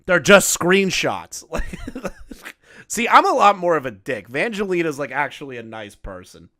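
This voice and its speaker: A man hosting a reaction commentary, blunt and profane in how he mocks what he watches. His voice has a bright, sharp edge. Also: a little high, wildly swooping pitch, unhurried. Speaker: commentary channel host.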